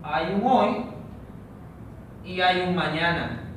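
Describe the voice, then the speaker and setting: A man teaching a recorded online training lesson for teachers, explaining a logic problem slowly and step by step. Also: high voice, lively intonation, a bit bright. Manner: slow, methodical, explains step by step. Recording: echoey room, distant mic, noisy.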